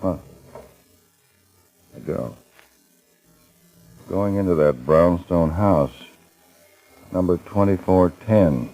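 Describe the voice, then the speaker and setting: Senior lawman, extremely deep, mellow voice, terse and watchful, vintage radio drama. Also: takes it slowly, pauses often, low-quality recording. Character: steady senior lawman planning a raid. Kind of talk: 1940s-50s radio crime drama acting.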